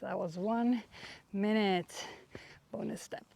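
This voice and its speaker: A woman with a Swedish accent, a native Swedish speaker, leading a workout while exercising. She is chatty and out of breath, and pauses to search for words. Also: mid-range voice, fairly even intonation, gravelly, dark tone. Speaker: fitness instructor. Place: outdoors.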